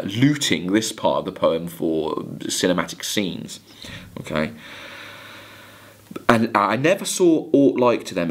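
A man in his thirties lecturing on literature, explaining in hesitant phrases with emphatic stresses. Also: fairly low pitch. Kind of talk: video lecture with poem readings and commentary.

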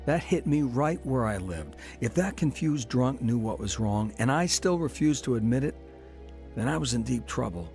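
An earnest man telling his own conversion story in radio-drama style, turning preacherly at the end. His mid-pitched voice has a gravelly edge, with soft music underneath. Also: lively intonation, normal speed. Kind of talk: dramatized testimony narration.

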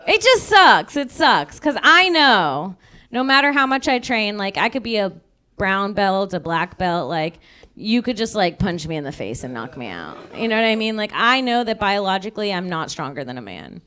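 A young woman doing stand-up on a club stage into a handheld mic, dropping dark punchlines in a chatty, deadpan way. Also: medium pitch, moderate pace. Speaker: stand-up comedian. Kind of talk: stand-up comedy set. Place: comedy club stage before a live audience. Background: audience laughter.

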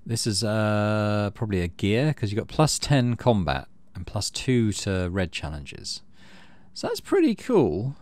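A middle-aged man hosting a let's play, reading game text aloud and thinking out loud as he plays. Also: fairly low pitch, wildly swooping pitch, a little slow, dry recording. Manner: thinks aloud while playing.

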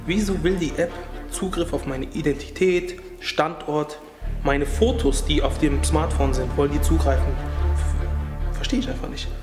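A man's voice: on the high side, moderate pace, echoey room, long reverb tail, music in the background. A man in his thirties, speaking earnestly and thoughtfully in a school building. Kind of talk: scripted acting and interview talk.

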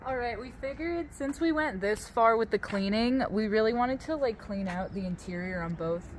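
Young female vlogger, excitable casual talker, recorded outdoors with clear background noise. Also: a little high, moderate pace. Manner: bubbly, laughs often, excitable. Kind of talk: casual chat. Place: outdoor parking lot beside a vintage car.

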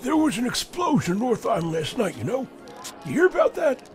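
Wry, rambling old man, folksy, high lively voice, audio drama. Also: moderate pace, soft background music. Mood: curious, gossipy. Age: older. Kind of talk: science fiction audio drama acting.

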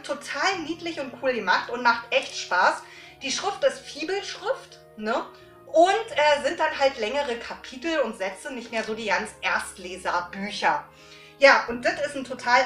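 A middle-aged woman, chatting informally to viewers in an animated way with frequent tag questions. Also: a little high. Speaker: host of a book giveaway vlog. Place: small indoor room lined with books. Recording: roomy echo, faint music.

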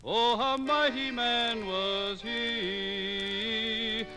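High-voiced man singing a slow folk ballad. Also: fairly even intonation. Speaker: ballad singer. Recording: 1950s radio broadcast on LP with music. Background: music.

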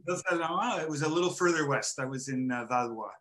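An older man from Montreal, a photographer and artist, talking in a hesitant, reflective way with many pauses and restarts, on a headset over a video call from home. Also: fairly high pitch, a bit bright. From Montreal, Canada. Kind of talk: casual interview conversation. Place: home room with framed photo, indoors.

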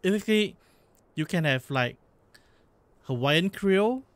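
Singaporean man, a bit high-pitched, casual vlog chat, restarts often. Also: expressive, a little slow, a bit bright, smooth, dry recording. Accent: Singaporean English. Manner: explains in bursts, corrects himself and restarts. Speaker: vlog host. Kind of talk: casual chat while streaming.

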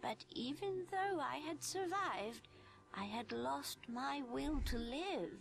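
A woman voicing a gentle, wistful blind old grandmother in a video game, speaking softly and kindly in a measured way. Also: high-pitched, expressive, vocal fry, a little hiss or hum, heavily acted, rough recording.

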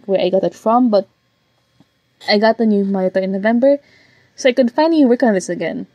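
A slightly hoarse woman casually narrating her digital painting process, rambling with fillers and self-corrections. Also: mid-range voice, darkish tone, no room sound, poor audio. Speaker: digital artist narrating a speedpaint. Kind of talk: casual voiceover commentary. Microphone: home voiceover mic.

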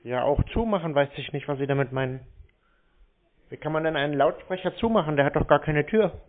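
A man talking on the phone in a deadpan, obtuse, needling manner, baiting a caller. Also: fairly high pitch, fairly quick. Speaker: prank call recipient baiting scammers. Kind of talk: phone conversation. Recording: narrow phone-call sound.

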